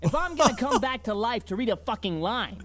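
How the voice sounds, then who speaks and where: Man playing a foul-mouthed, swaggering undead vampire, wildly swinging pitch. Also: sing-song, brisk, a bit bright, heavily acted. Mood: indignant. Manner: profane, sneering, theatrical delivery. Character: crude, irate undead male vampire, cocky and vulgar. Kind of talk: anime parody dub.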